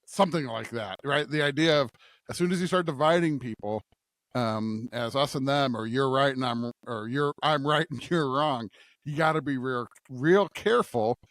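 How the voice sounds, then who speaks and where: A man's voice: mid-range voice, moderate pace, a bit bright, no echo. A man co-hosting a podcast, reflecting casually on scripture in an earnest way, repeating phrases for emphasis and checking in with his listener. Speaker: podcast co-host.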